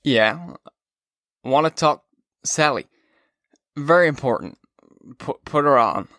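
A man's voice: on the high side, slow pace, a bit bright, dry recording, clean studio sound, voice pushed up. A man reading aloud, voicing a drunk teenage boy who slurs and stammers on the phone. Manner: slurred, stammering.

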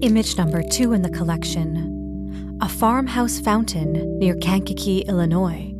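Woman acting solemn museum guide lady, formal and measured, music clearly behind her. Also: fairly low pitch, natural voice. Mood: solemn, composed. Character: composed, solemn female museum exhibit narrator. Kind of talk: scripted theatrical narration.

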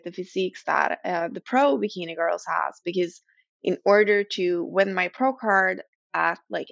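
A young Swedish-accented woman hosts a podcast in casual English, her voice carrying a gravelly fry. She rambles and hesitates, leaning on fillers as she talks. The recording is dry and close, with a slightly dull, lo-fi sound. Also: mid-range voice, dry recording. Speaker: podcast host.

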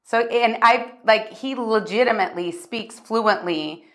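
A woman's voice: a little high, measured pace. A woman hosting a podcast, chatting in a bubbly way with plenty of fillers as she interviews a guest. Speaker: podcast host. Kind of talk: casual interview.

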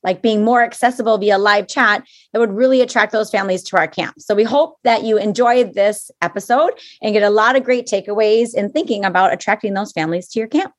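A woman with a broad Minnesotan accent co-hosts a podcast. She talks casually at a mid pitch and teases herself in a friendly way. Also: brisk, hardly any pauses, no room sound. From Minnesota, USA. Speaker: podcast co-host.

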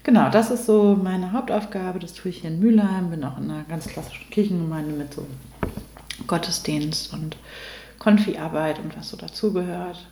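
A woman's voice: on the low side, normal speed, mellow, a little hiss or hum. A woman in her late thirties, a pastor, speaking reflectively as a guest in a conversational interview. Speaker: guest, Protestant pastor and theologian. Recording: echoey room.

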